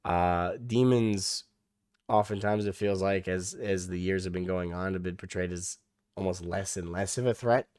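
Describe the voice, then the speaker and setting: A young man hosting a reaction video, losing his voice and hoarse, rambling casually with many restarts and the odd swear. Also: sits low, fairly even intonation, moderate pace, a little mellow, no room sound, studio quality.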